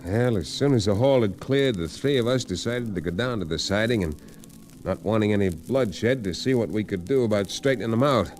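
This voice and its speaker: Actor as earnest western hero, noisy old recording. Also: male voice, on the low side, fairly quick, a little mellow, natural voice. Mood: grave narration. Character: steady, reasonable male western hero who narrates his tale. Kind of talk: old-time radio western acting.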